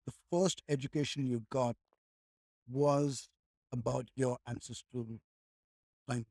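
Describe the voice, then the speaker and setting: A man's voice: medium pitch, fairly even intonation, takes it slowly, a little mellow, no room sound, studio quality. A man, a podcast guest talking in a reflective, rambling way with many restarts. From India. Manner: rambling, with frequent restarts and "like", "right?". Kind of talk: reflective conversational chat.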